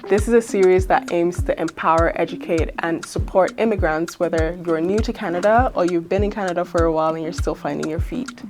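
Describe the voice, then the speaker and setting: A young adult woman hosting a podcast, upbeat and encouraging with her guest. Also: fairly low pitch. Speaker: podcast host. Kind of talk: podcast interview.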